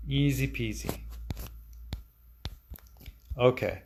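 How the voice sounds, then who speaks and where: A man in his forties, a stop-motion animator, answering viewer questions in a relaxed, chatty way with frequent fillers and restarts. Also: medium pitch, drawn-out words, vocal fry, slight background noise. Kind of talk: casual live-stream Q&A, reading viewer comments aloud.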